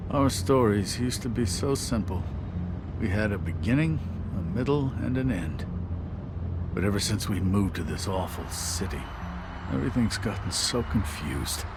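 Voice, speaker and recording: A proper, fussy game official with a lowish voice. He is formal, hesitant and wistful, heard over clear car engine noise. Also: lively intonation, moderate pace. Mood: wistful grief. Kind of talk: video game voice acting.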